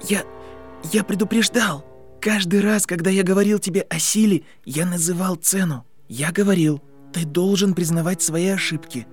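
A man's voice: high-pitched, steady tone, moderate pace, voice pushed up. A man voicing an articulate, insistent boy wizard who reasons like a scientist, in an audiobook with music. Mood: defensive, apologetic. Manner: reasoning, lecturing, intense.

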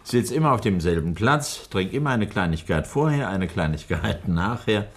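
Affable middle-aged doctor in an old radio play, deep, jovial and a little evasive. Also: male voice, animated, moderate pace. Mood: chatty. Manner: jovial, chuckling host, then urgent. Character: genial older male nerve doctor, evasive. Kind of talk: 1960s radio drama acting.

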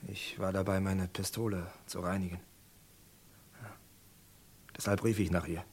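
A grim cattle rancher and gunslinger in a radio crime drama. The man's voice sits low. He speaks in short, weighty, deliberate phrases with stubborn resolve. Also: little pitch movement, slight background noise. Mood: haunted recounting. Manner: terse, heavy, deliberate.

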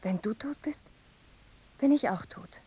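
A woman's voice: sits high, lively intonation, measured pace, dark tone, slightly rough, heavily acted. A woman playing a mournful, visionary young wife in a poetic radio play, with solemn, incantatory delivery. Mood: sorrowful.

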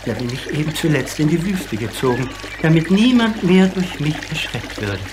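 An actor playing a gentle, courteous, lonely old giant in a radio play, explaining things in a theatrically expressive way. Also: male voice, on the high side, normal speed, background noise, natural voice. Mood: gentle, grateful.

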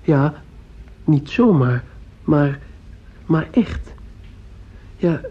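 An earnest fifteen-year-old boy, feverish and dreamy. His speech is slow and hesitant, with a husky catch. It sounds like a dull old radio play recording. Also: on the high side, animated, heavily acted. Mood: earnest, puzzled. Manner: hesitant, groping for words. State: ill, sore throat.

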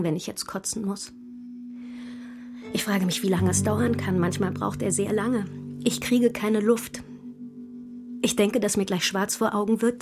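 A woman's voice: medium pitch, lightly acted. A woman acting a troubled, fragile yet defiant actress in an intimate first-person radio drama. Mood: anguished. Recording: music behind the voice. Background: music.

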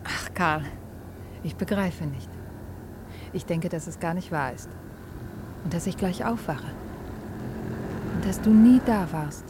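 An actress playing a tender, anxious young bride in a serious radio drama, speaking softly and haltingly. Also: female voice, lowish voice, background noise. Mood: dazed, wistful. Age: young adult.

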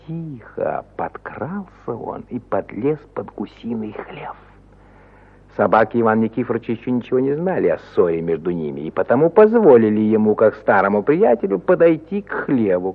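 Man, husky voice, very lively intonation, dramatic storytelling, 1950 radio style, old recording. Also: mid-range voice, phone-quality sound. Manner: theatrical, swinging between loud exclamation and hushed suspense. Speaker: narrator of an audio play. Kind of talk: expressive dramatic literary narration, 1950 Soviet radio.

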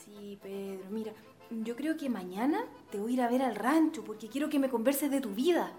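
A young Chilean woman of a landed family played by an actress in radio theatre. She speaks in a high voice, earnest, idealistic and direct, with soft music behind her. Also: lively intonation, normal speed, lightly acted. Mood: curious, dismayed. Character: earnest, idealistic young daughter of a rural landowner. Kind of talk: radio drama acting.

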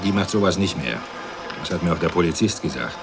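Smug, low-voiced petty-bourgeois husband. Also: male voice, lively intonation, quick pace, gravelly, over music. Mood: indignant. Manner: scornful, self-righteous. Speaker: actor in a radio play. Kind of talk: radio drama dialogue.

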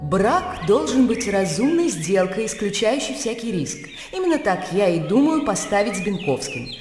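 An actress in a radio drama playing a practical, self-assured, calculating widow who runs an estate, brisk and businesslike. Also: female voice, medium pitch, sing-song, darkish tone, faint music behind, natural voice. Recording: echoey room with long reverb.

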